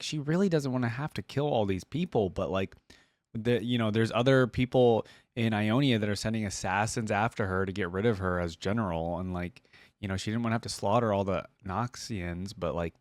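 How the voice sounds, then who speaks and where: A man's voice: lowish voice, no echo. A man hosting a reaction video, commenting casually in an informal, slangy, rambling way.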